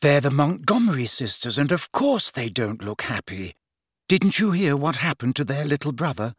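A man acts out a bossy teenage schoolgirl for an audiobook. The voice is raised and husky, swinging up and down with brisk, earnest impatience. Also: medium pitch, sing-song, fairly bright tone, no room sound, clean studio sound, pitched above the performer's own voice. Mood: impatient.

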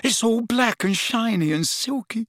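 A man voicing a lovesick teenage boy in an audiobook, breathy and strangled, moony and overwrought. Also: extremely high pitch, expressive, a little slow, a bit bright, no echo, clean studio sound, voice pushed up, exaggerated voice. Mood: lovestruck, dreamy. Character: lovesick teenage boy under a love potion, dreamy then furious. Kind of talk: audiobook character voice.

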